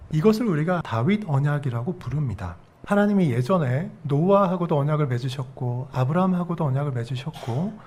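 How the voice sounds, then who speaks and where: Middle-aged dialect-speaking male pastor, slightly high husky voice, teaching-style sermon with questions to the congregation. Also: dark tone. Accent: Korean regional dialect. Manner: explains verses step by step, asks the congregation questions. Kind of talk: expository sermon.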